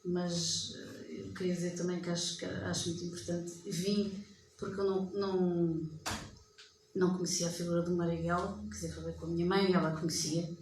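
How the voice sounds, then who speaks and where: A woman's voice: lowish voice, little pitch movement, normal speed, a little mellow. A woman from Portugal with a European Portuguese accent, commenting from the audience at a public debate. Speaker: audience member. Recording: echoey room with faint noise.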